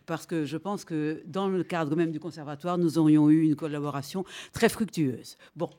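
A woman's voice: low-pitched, fairly even intonation, moderate pace. An older woman hosting a talk, formally introducing a guest singer with some hesitations. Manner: warm, formal introduction with fillers.

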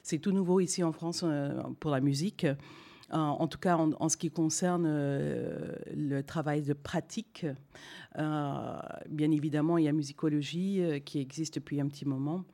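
Middle-aged woman with English as her first language, low mellow voice, halting unscripted delivery. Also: fairly even intonation. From Canada. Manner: hesitant, many fillers and restarts. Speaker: guest singer and voice teacher. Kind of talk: spontaneous talk to an audience.

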